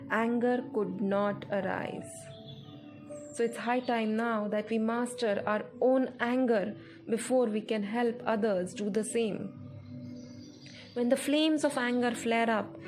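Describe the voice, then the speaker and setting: A woman narrating a scripted guided meditation in a measured, instructive way. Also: on the high side. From India. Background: background music.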